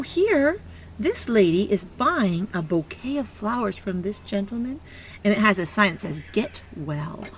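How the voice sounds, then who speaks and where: A woman teacher reading a picture book aloud to young children, stopping to ask questions and encourage them in an expressive way. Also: mid-range voice, rough recording. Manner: encouraging, praising child listeners; expressive, exclaiming and prompting. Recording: clear background noise.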